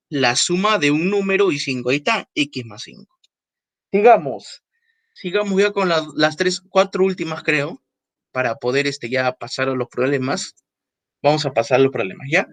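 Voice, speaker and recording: A man teaching a math class online, repeating key phrases, stressing words slowly, and checking in with quick tag questions. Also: fairly high pitch, animated, a bit bright, no room sound.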